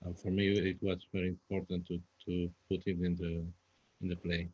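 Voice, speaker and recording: A man with a Spanish accent answering panel questions in English as a second language, halting and repeating himself as he searches for words. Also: low-pitched, completely flat delivery. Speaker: playwright guest. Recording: dull lo-fi, echoey room sound.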